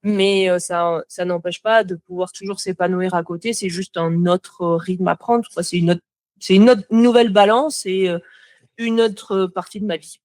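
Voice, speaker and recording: A woman's voice: lowish voice, steady tone, moderate pace, darkish tone, no echo. A young French woman, a motion designer, chatting as a podcast guest, talking freely with lots of fillers and restarts. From France. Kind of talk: casual podcast conversation.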